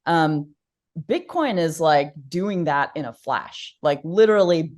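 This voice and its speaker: A woman with a notably low voice talks through her ideas in a relaxed interview. She hesitates, restarts phrases and fills pauses with "um," breaking into light laughter along the way. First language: Polish. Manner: thoughtful, many fillers and restarts, laughs often. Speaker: podcast guest, researcher. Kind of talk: conversational interview.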